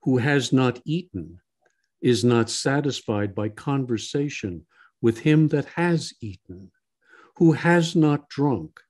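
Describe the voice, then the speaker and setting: A man's voice: mid-range voice, darkish tone. A man co-hosting a podcast discussion, emphatic and given to repeating key phrases. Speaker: podcast co-host.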